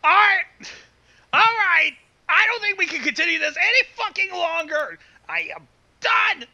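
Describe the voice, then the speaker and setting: A high, gravelly voice rants and swears in casual banter. The delivery is theatrically exasperated and swings widely, over a background of voices. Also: big pitch swings, unhurried, background noise. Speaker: co-reader commenting on the story.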